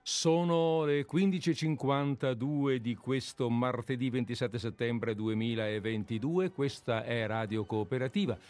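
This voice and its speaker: A man's voice: medium pitch, measured pace, hardly any pauses, darkish tone. A man hosting a live radio show in a studio, chatting and wandering into anecdotes. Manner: chatty, digressive storyteller. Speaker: radio host.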